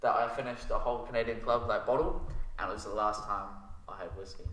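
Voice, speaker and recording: A man's voice: fairly low pitch, fairly even intonation, fast, fairly bright tone. A man in his twenties telling stories as a casual podcast guest, acting out bits of remembered dialogue. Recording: echoey room, faint noise.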